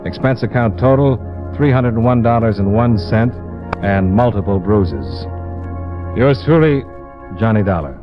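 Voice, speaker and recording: A vintage radio drama recording, muffled, with clear music underneath. a hard-boiled American investigator with a fairly low voice. He tells his case in a clipped, wry, dry way. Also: expressive, a little slow. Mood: wry, businesslike. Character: tough, wry male freelance insurance investigator narrating his case. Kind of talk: 1950s radio detective narration.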